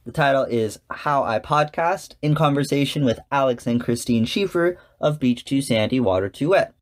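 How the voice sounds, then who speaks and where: A man's voice: medium pitch, animated, normal speed. Male podcast host, mid-range voice, chatty and enthusiastic, scripted commentary loosened with conversational asides. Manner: chatty, enthusiastic fan talk.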